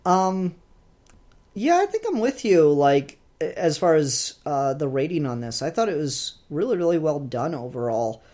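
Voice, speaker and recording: A man with a voice a little higher than most speaks as a podcast co-host. He chats casually and informally, in the style of a review discussion. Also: very animated, normal speed, darkish tone.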